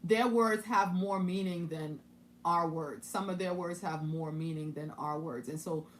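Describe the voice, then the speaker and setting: Woman musing through scripture, lower voice. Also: unhurried, slight background noise. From Haiti. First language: French. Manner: thinking aloud, with many restarts and asides. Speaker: Bible teacher. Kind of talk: casual Bible study commentary.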